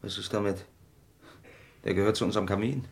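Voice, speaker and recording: A man's voice: lowish voice, fairly quick, creaky. A man playing an exasperated, defensive husband under suspicion in a German crime radio play. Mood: irritated. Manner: defensive, irritable.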